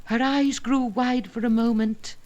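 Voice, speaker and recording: A woman reading narrative poetry aloud in a dramatic, measured and expressive manner, with deliberate pauses and emphasis. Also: on the high side. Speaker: poetry reader.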